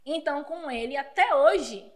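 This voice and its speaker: A woman's voice: high-pitched, animated, unhurried. A woman telling a childhood story in a casual podcast chat, laughing often. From Paraguay border region. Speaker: guest.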